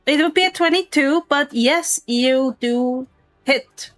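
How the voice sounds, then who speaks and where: A woman game master with a high voice narrates at a slow, measured pace. She describes the scene and patiently explains rules, recorded dry with faint music behind her. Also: fairly bright tone, no room sound. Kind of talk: improvised tabletop game narration.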